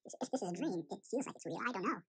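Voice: medium pitch, lively intonation, racing, slightly rough. A performer voicing a panicky, bewildered young chipmunk boy in a cartoon fan story, rambling and repeating themselves in an anxious, dramatic way. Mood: confused musing.

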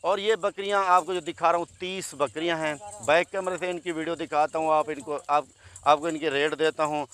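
A man in his thirties from Pakistan talks in a slightly high voice, chatty and salesman-like. He keeps addressing his friends as he shows his herd. He speaks outdoors in an open field into a phone held at arm's length. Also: fairly even intonation, brisk, a bit bright, clean tone. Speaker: goat farm owner and seller. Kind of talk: casual sales walkthrough vlog.

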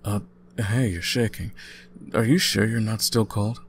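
A young man playing an easygoing, caring student roommate in an intimate ASMR audio roleplay, talking casually with gentle teasing. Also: on the low side, fairly even intonation, measured pace, slight background noise. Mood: gentle, reassuring. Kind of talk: intimate ASMR boyfriend-style roleplay, conversational acting.

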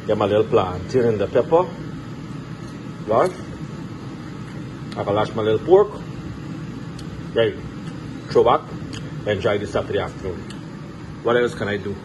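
Low-voiced Guyanese man over loud kitchen noise. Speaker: home cooking vlogger. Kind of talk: casual cooking vlog chat. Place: home kitchen, indoors. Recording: noisy kitchen, loud appliance hum.

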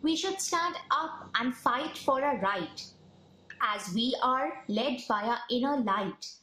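A young woman from India with an Indian accent, reciting a poem in a measured, declamatory way. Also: a little high, animated, pushed and bright. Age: young adult. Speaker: poem presenter. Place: small room at home. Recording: roomy home recording with noise.

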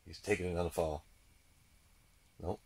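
A man giving casual running commentary as he fishes, muttering and repeating himself in a husky, gravelly voice. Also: deep voice, steady tone, moderate pace, a little hiss or hum. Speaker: ice angler demonstrating a fish finder. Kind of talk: excited casual running commentary while fishing.

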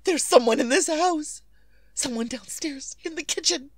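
An actress playing a terrified, desperate wife pleading breathlessly and haltingly in an old-time radio thriller. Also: female voice, sits high, no echo, natural voice. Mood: hushed fear. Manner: breathless, halting, repeating herself.

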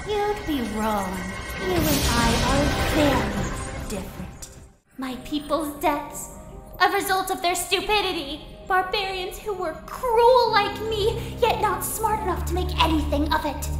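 A woman voicing a gleefully sadistic animated villainess, theatrical and taunting, with drawn-out menace. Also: very high-pitched. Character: gleefully sadistic female villain, embodiment of evil. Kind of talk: animated villain voice acting reel. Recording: echoey voice under loud music. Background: loud dramatic music.

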